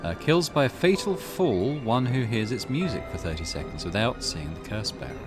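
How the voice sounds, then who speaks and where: A man's voice: mid-range voice, very animated, moderate pace. A man hosting a let's play, reading a visual novel's text aloud and adding his own comments. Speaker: gaming channel host. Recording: music behind the voice. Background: game music.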